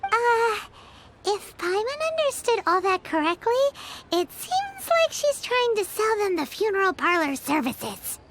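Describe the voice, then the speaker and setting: An actress voicing a small, chirpy fairy companion who speaks of herself in the third person. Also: female voice, very high-pitched, big pitch swings, unhurried, a bit bright, slight background noise, full character voice. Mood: puzzled. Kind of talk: video game voice acting.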